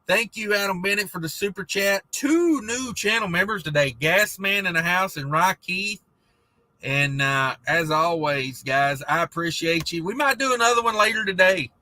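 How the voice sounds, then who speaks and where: A man hosting a trucking livestream, reading viewer comments in a rambling, laid-back chat, and his voice is going hoarse. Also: high voice, expressive, normal speed, pushed and bright, no echo. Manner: rambling, laid-back, many fillers and restarts. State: losing his voice.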